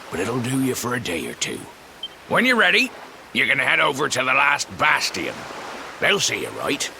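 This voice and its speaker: An actor playing a gruff, kindly old fisherman with folksy, excitable speech that swings from loud to soft in a game. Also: medium pitch, background noise, exaggerated voice. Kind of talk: game voice acting.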